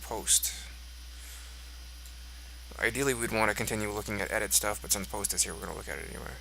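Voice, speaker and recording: A man's voice: on the low side, gravelly, pushed and bright, slight background noise, low-quality recording. A congested man thinking aloud as he walks through code on screen, musing and offhand. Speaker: code reviewer. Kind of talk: screen-recorded code walkthrough.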